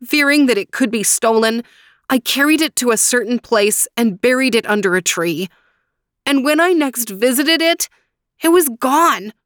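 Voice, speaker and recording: Despairing robbed man, high and pleading, put on by a woman narrator. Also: expressive, moderate pace, no room sound, clean studio sound, natural voice. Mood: anguished. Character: grieving young man, anguished and pleading.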